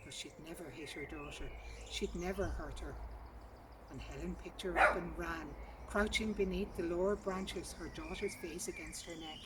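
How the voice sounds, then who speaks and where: A woman with a lowish voice reads a literary story in a measured, sombre way. She is outdoors in a garden, a little far from the mic, with clear birdsong throughout. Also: heavy background noise.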